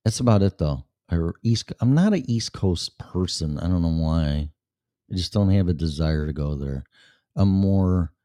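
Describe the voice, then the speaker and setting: A man's voice: low-pitched, warm tone, no room sound, studio quality. A man with a Chicago accent hosting a casual chat show, rambling and blunt, with plenty of swearing. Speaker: show host. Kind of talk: casual livestream chat with viewers.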